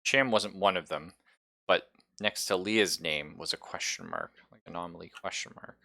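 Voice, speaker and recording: A man improvising narration as game master of a horror tabletop roleplaying game, with halting, suspenseful storytelling. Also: sits low, gravelly, sharp tone, slight background noise.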